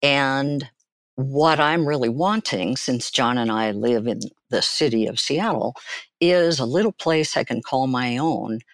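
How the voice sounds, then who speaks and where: An older woman telling personal stories as an interview guest, phrasing deliberately and emphatically with pauses. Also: rumbling low, no room sound, studio quality. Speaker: guest, therapist and author.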